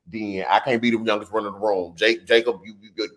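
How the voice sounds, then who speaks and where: A man in his thirties hosting a live political commentary stream, chatty and animated, reading viewer comments and riffing between stories. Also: fairly low pitch, brisk. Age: 30s. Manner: animated and conversational, drifts into chatty asides and laughs easily. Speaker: political talk show host.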